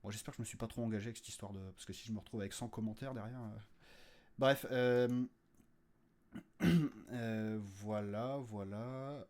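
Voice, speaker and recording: A man's voice: lowish voice, steady tone, normal speed, a little mellow. A man talking informally and unscripted as a podcast host, hesitant and jokey, often repeating words as he searches for them. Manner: jokey, crude slang. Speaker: creator and host of a fiction audio podcast. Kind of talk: informal unscripted update to listeners.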